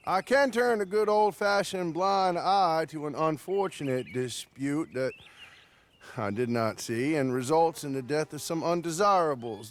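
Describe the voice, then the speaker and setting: A man's voice: fairly high pitch, close to an everyday voice. An actor playing an easygoing, wily frontier lawman with an American Southern accent, speaking in a wry, folksy drawl. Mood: sly, amused. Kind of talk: video game cutscene acting.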